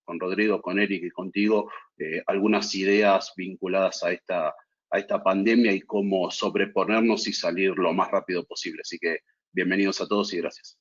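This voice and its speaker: Low husky Argentine man on a call. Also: steady tone, highs cut off. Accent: Argentine Spanish. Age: middle-aged. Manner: measured, halting with many repeated words. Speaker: panelist. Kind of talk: webinar panel introduction.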